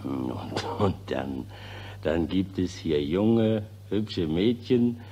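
A callous soldier lounging behind the front, in 1940s radio style. He boasts with smug relish in a rather low voice, taking his time, on a vintage broadcast recording. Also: animated, very slow, dark tone, full character voice. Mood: smug, boastful. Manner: gloating, self-satisfied. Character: smug, callous German rear-echelon soldier, leering and boastful.

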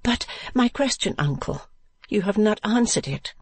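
A male audiobook narrator voicing an earnest young woman who speaks softly and pleadingly to her uncle. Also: sits high, expressive, a little slow, vocal fry, pitched above the performer's own voice, heavily acted. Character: young woman, earnest niece, gently apologetic. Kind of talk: audiobook character reading.

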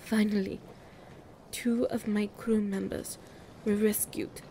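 A woman's voice: mid-range voice, little pitch movement, slow pace, dark tone, slight background noise. A woman playing a regal, commanding empress of monsters in a fantasy audio roleplay, speaking in a stately, formal manner. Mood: stately, grave.

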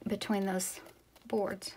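A woman from Tennessee giving a relaxed step-by-step painting lesson, talking as she paints and sometimes dropping to a whisper. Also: medium pitch, slow, slightly rough. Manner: relaxed, talks while painting, drops to a whisper at times. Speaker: painting instructor. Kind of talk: casual step-by-step tutorial. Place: indoors at a work table.

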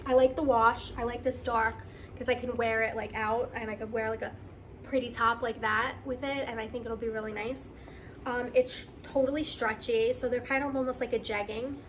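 Female vlogger, excited and conversational, slightly high pitch, casual unboxing delivery, faint noise on the recording. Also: normal speed. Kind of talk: casual unboxing chat to camera.

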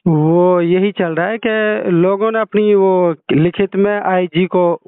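A man's voice: sits high, steady tone, slow pace, clean tone, no room sound. A man working as a journalist on a phone call, questioning and advising the caller in a matter-of-fact way. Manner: matter-of-fact, brief acknowledgements. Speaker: local journalist taking a call. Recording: narrow-band, dry phone call recording.

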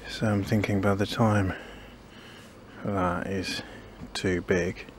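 A man's voice: low voice, flat delivery, slow pace. A man chatting casually to camera as he plants in his backyard, musing and second-guessing himself, often trailing off. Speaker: gardening vlogger. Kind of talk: casual thinking-aloud narration while gardening. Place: small backyard garden outdoors. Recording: noisy outdoor ambience.

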